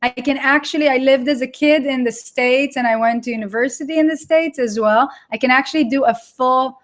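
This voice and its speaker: A woman's voice: sits high, fairly quick. A middle-aged woman with an Israeli accent, hosting a live webinar and chatting casually with viewers, repeating words for emphasis. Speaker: online course creator hosting a live webinar.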